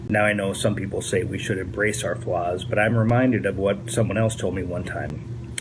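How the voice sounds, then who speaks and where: A man doing a low deadpan voice. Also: moderate pace, background noise.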